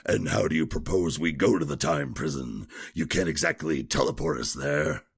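A man playing a gruff, sarcastic, skeptical adventurer in an audio drama, with a dry, sardonic way of talking. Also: a bass voice, normal speed, heavily acted. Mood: sardonic, wary. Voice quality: gravelly, husky.

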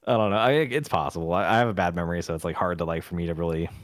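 A man co-hosting a podcast in casual chat, deadpan and rambling, with a lot of "like" and "uh". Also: low voice, rapid-fire, no room sound, studio quality. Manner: deadpan, rambling, lots of fillers. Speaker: podcast co-host.

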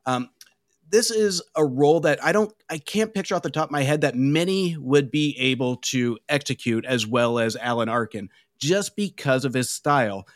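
A man's voice: medium pitch, animated, moderate pace, no echo, clean studio sound. A man guesting on a podcast, talking through a film in a thoughtful, casual way.

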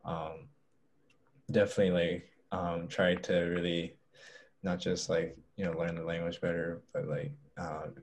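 A man's voice: sits low, level tone, a little slow, a little mellow. A young man as an interview guest, talking casually and hesitantly with many fillers and restarts.

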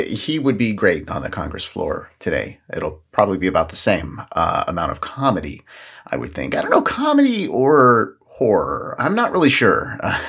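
A man's voice: lowish voice, gravelly, fairly bright tone, slightly rough. A man hosting a podcast, talking casually and solo through the day's events with jokey, rambling asides. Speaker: podcast host.

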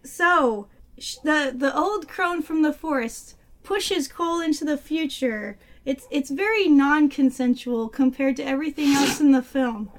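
High-pitched woman, kinda hoarse, casual movie podcast host, giggles a lot. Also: unhurried. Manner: giggly, laughs while summarizing. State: hoarse, losing her voice. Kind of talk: casual movie review chat.

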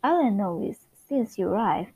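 A woman's voice: mid-range voice, lively intonation, normal speed, darkish tone, slightly rough. A woman playing a good-natured, folksy male co-worker in a sitcom office. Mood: admiring.

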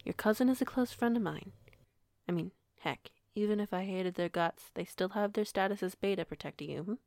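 A woman playing a courteous, gently authoritative werewolf pack leader in an audio roleplay, with formal, measured wording. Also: mid-range voice, creaky, dry recording, studio quality, lightly acted. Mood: reassuring.

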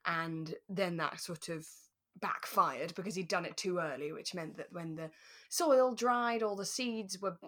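A young British woman co-hosting a podcast in relaxed, reflective, conversational chat. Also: on the low side, unhurried. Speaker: podcast co-host. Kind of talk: relaxed chat reviewing a radio drama.

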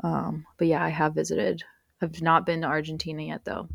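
Young woman guest on a podcast, low voice, dry close sound, keeps saying like. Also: completely flat delivery, normal speed, gravelly, a bit bright, dry recording. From United States. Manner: rambling, uses many fillers and "like". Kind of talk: casual conversational interview.

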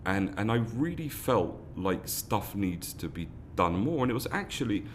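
Deep-voiced earnest man. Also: sing-song, moderate pace, a little hiss or hum. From London, England. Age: 30s. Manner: earnest, many restarts and repeated words. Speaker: foundation founder, guest. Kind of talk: earnest interview answers.

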